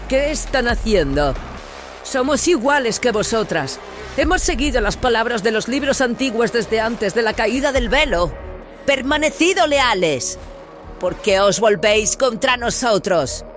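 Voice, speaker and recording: A man's voice: extremely high pitch, expressive, a little slow, fairly bright tone, faint music behind, pitched above the performer's own voice, exaggerated voice. A man voicing a fanatical, defiant female rebel leader in a declamatory, commanding way, in a dramatized sci-fi audiobook. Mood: indignant, zealous.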